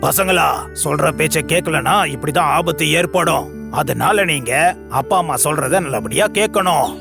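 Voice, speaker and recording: A man's voice: a little high, moderate pace, gravelly. A man voicing a stern, protective father rooster in a children's cartoon, admonishing instructively, with music behind. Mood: stern, advising. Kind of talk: children's cartoon story acting.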